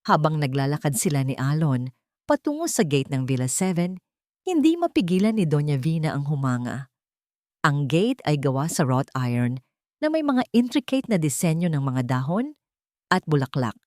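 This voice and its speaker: A woman narrates a scripted story in a dramatic style. Her voice sits slightly low and moves with animated intonation. The recording is close and dry. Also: moderate pace, no echo.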